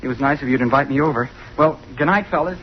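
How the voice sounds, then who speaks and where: An actor playing an earnest, awkward, ambitious young chemistry student in an old-time radio drama, hesitant and over-polite. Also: male voice, fairly high pitch, racing, lightly acted. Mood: meek, eager. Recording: muffled, noisy old radio recording.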